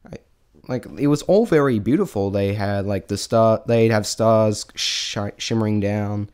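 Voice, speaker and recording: A man's voice: lowish voice, measured pace, a little mellow, smooth. A man giving an unscripted spoken review in a rambling, hesitant way, often stammering and breaking off mid-thought. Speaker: amateur reviewer sharing opinions.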